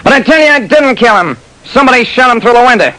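An actor playing a big, urgent gambling boss in an old radio drama, forceful and commanding, on a noisy recording. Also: male voice, very high voice, lively intonation, fast talker, exaggerated voice. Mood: desperate, commanding. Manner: forceful, commanding, pleading his innocence.

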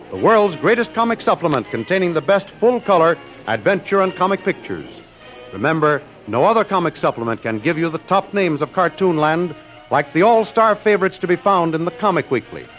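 A muffled old radio recording of a male announcer over faint music. His fairly high voice swings dramatically as he delivers scripted, emphatic promotional copy.

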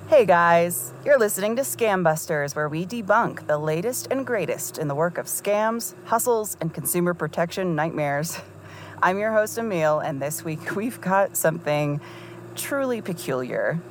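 A woman's voice: lowish voice, lively intonation, unhurried, a little hiss or hum. A woman playing a chatty, amused scam-debunking podcast host in an audio drama, talking casually. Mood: amused, intrigued.

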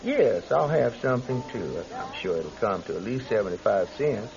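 An actor playing a genial, pious older traveling preacher in a radio drama, with courtly, unctuous phrasing. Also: male voice, on the low side, very animated, normal speed, gravelly, music in the background. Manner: courtly, unctuous, quaintly formal. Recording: slightly dull radio recording.

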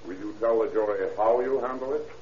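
A man playing a courteous, methodical defense attorney in an old-time radio courtroom drama, speaking formally and deliberately. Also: fairly low pitch, a little mellow, close to an everyday voice. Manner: formal, deliberate, politely lawyerly. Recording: echoey, muffled old radio recording, faint hiss.

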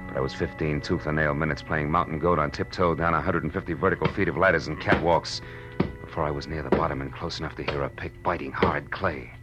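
An actor playing a tough, wry American private detective, terse and slangy, in the style of 1940s radio drama. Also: male voice, a bass voice. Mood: hushed suspense. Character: tough, hard-boiled male private detective narrating his case.

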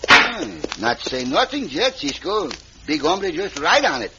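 Goofy mexican sidekick, kinda high voice, broken english, old radio western, lots of hiss. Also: male voice, big pitch swings, a little slow. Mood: curious, excited. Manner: broken English, refers to himself by name. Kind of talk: 1950s radio western acting. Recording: noisy, dull old radio recording.

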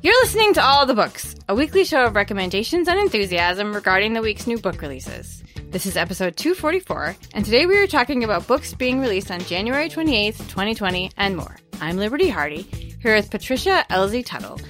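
A woman's voice: mid-range voice, big pitch swings, fairly quick, a bit bright, faint music behind. A woman hosting a book podcast in casual chat, bubbly and talkative, laughing often. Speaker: podcast host.

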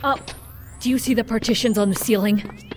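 A woman's voice: a little high, fairly even intonation, moderate pace, background noise, close to an everyday voice. An actress playing a tense female operative who talks in an urgent, worried way. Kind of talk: audio drama acting. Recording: music underneath.

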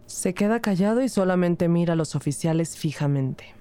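A woman's voice: lowish voice, animated, moderate pace, mellow, clear, resonant tone, natural voice. An actress playing an observant investigator, narrating her case in the first person in a wry, matter-of-fact way. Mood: wry narration.

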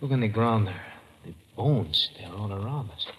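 An actor playing a loyal, solemn villager guide in an old radio drama, speaking simply and deferentially. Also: male voice, on the low side, lively intonation, normal speed, close to an everyday voice. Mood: hushed, grieving. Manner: deferential, simply phrased, earnest. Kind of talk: 1950s radio drama acting. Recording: old radio recording with background hiss.

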